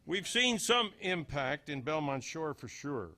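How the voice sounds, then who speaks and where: A man's voice: on the high side, sing-song, a little slow, bright, forceful tone. A man delivering a scripted humorous small-town monologue as a storyteller, with folksy, dry understatement. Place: live before an audience.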